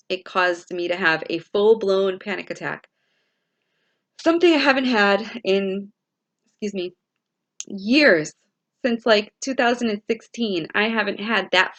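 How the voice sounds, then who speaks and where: A woman with a raspy voice this morning, talking to viewers in a casual, musing way as a spiritual vlogger. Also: mid-range voice, fairly bright tone. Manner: slow, musing, repeats and restarts phrases. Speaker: spiritual vlogger and tarot reader.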